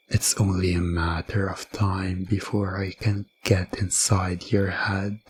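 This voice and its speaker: A man playing a sinister, gloating hypnotist in a hushed, coaxing and commanding hypnosis ASMR drama. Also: low-pitched, slight background noise. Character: sinister, gloating male hypnotist serving a game master.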